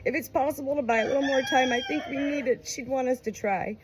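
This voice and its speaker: A woman's voice: high-pitched, steady tone, quick pace, background noise, voice pushed up, lightly acted. A woman voicing a distraught, pleading young husband and father in an emotional, urgent audiobook reading.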